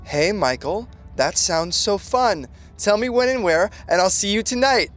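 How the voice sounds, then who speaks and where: A man's voice: high-pitched, expressive, unhurried, a bit bright, faint music behind. An actor playing an earnest, lovestruck, emotional young man in a podcast sitcom, with dramatic delivery. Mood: hopeful.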